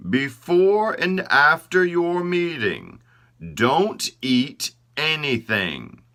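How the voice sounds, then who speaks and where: A man reading a children's storybook, voicing God giving slow, deliberate instructions. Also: on the high side, pushed and bright, pitched above the performer's own voice, full character voice. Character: God, a patient, wise male creator.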